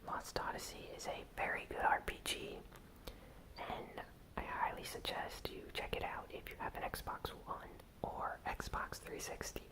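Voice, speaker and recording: A host whispering a news recap in ASMR style, softly and with gentle pauses. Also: low-quality recording. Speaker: host of a gaming news channel.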